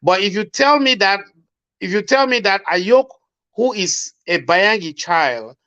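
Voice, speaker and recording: Panel guest, man, Cameroonian Pidgin accent, pitched a little high, forceful and argumentative, muffled lo-fi audio. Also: lively intonation, unhurried. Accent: Cameroonian Pidgin English. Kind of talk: heated panel discussion.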